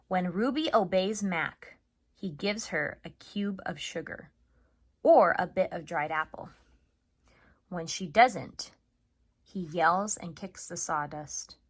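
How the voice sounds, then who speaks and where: Bright-voiced woman teaching young children. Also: lowish voice. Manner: chatty, addresses children as friends, expressive. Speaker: third grade teacher. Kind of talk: upbeat video lesson and read-aloud for children.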